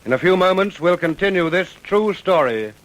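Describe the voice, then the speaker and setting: A man narrating a true-crime radio drama in a measured, solemn, suspenseful scripted style. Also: high voice, lively intonation, fairly bright tone, slight background noise, rough recording. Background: music.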